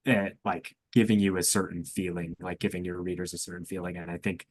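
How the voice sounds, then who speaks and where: Low-voiced young man, hesitant and thoughtful. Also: flat delivery, fairly quick, slight background noise. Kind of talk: casual literary discussion.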